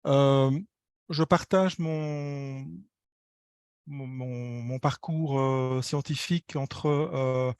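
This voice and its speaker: A man's voice: mid-range voice, steady tone, drawn-out words, clear, resonant tone, dry recording. A man giving an academic presentation over a video call, hesitant and repeating words as he searches for the next. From Belgium. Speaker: architecture lecturer and researcher presenting.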